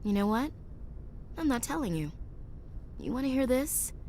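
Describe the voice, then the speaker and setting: A woman's voice: sits high, big pitch swings, normal speed, a little hiss or hum. An actress playing a tough, frank and passionate female soldier in a dramatic video game scene. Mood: teasing, fond. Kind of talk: scripted video game acting. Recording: loud music over game dialogue.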